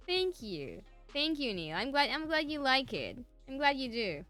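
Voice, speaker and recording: A woman's voice: high voice, huge pitch swings, slow pace, fairly bright tone, faint music behind. Giggly streamer woman. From Argentina. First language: Spanish. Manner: giggly, laughs often between phrases. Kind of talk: casual chat with live chat viewers.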